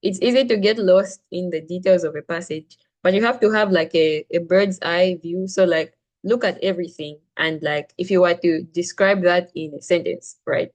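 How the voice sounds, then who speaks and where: Chatty young woman tutor, lower voice. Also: moderate pace, darkish tone. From Zambia. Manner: chatty, frequent "like" and "right?", restarts sentences. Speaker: SAT reading tutor. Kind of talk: casual classroom teaching.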